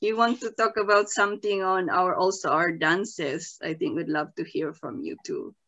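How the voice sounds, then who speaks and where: A woman's voice: fairly low pitch, moderate pace, a bit bright. A woman hosts an online community talk, chatting and laughing easily as she passes the word. Her voice comes through dull and muffled, like over a video call. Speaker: moderator. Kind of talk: casual online panel talk.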